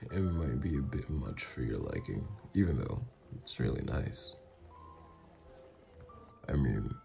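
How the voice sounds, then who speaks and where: A man acts out a mafia boss doting on his lover in an ASMR audio roleplay. His voice is extremely deep and gravelly, swinging freely up and down as he flirts. He talks in an intimate, teasing, reassuring way, with music playing behind him. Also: a little mellow, full character voice.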